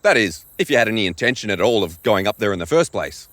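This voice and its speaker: A man's voice: fairly low pitch, very animated, quick pace, a bit bright, no echo. A man voicing a cocky male mountain guide who mocks and taunts a rival, smugly, in an audio drama. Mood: taunting. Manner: taunting, smug.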